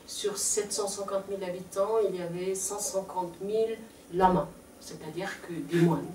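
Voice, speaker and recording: A woman with a Mongolian accent gives a conference talk in French that is not her first language, pausing often on fillers. Her voice sits a little low and is heard from a distance in an echoey lecture room with faint background noise. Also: fairly even intonation, darkish tone. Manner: hesitant with frequent fillers. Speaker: interpreter and conference presenter. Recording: echoey room, mic far off.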